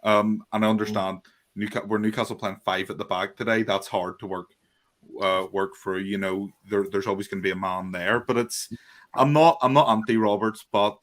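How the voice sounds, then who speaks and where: A man's voice: lowish voice, fairly even intonation, moderate pace, fairly bright tone. A man with a Northern Irish accent giving his view as a guest on a casual podcast chat, earnest and full of restarts. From Northern Ireland. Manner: earnest, hesitant with many restarts.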